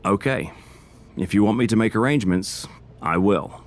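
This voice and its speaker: A man's voice: on the low side, expressive, moderate pace. A man voicing a serious, cautious backstage fixer in a video game cutscene. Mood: serious, cautioning. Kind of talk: dramatic game cutscene dialogue.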